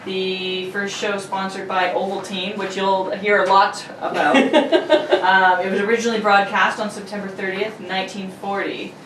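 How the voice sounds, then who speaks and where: A woman's voice: mid-range voice, steady tone, moderate pace, fairly bright tone. A woman hosting and announcing a script with playful, jokey delivery. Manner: playful, giggly asides. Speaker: host and announcer. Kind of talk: jokey introduction and old-radio script reading. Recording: roomy, distant mic with faint noise.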